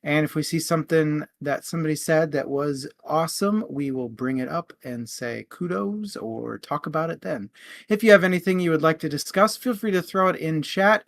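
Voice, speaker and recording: A man hosting a casual book discussion in a conversational, explanatory manner. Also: a little high. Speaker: podcast host.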